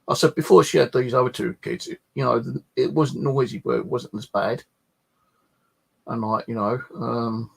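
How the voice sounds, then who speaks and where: A man's voice: mid-range voice, gravelly, breathy edge. A man chatting casually and ramblingly about his own life, grumbling and swearing in a colloquial way. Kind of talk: casual rambling personal chat.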